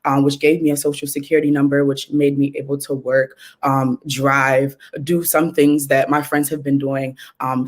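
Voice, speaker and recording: A woman from Trinidad and Tobago, a guest activist, speaking earnestly and with passion over a remote call. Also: low voice. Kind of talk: casual podcast interview. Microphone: remote call mic.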